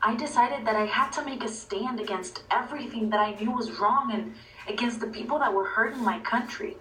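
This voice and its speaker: A woman's voice: fairly high pitch, fairly quick, fairly bright tone. A woman in her twenties from Venezuela, narrating her own personal story. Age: 20s. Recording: echoey, distant playback with faint noise.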